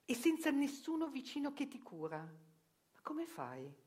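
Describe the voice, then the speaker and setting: A woman's voice: sits high, big pitch swings, unhurried, darkish tone. A middle-aged woman, a journalist, performing a theatrical monologue in an ironic, self-questioning manner that rises to urgent outbursts. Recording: radio broadcast with faint music. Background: live music.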